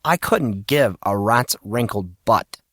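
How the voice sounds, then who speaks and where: A man's voice: on the low side, lively intonation, slow pace, fairly bright tone, no echo, exaggerated voice. A man voicing a defiant teenage student with a dry, sarcastic manner in an audio story. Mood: coolly dismissive. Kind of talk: audiobook character voice.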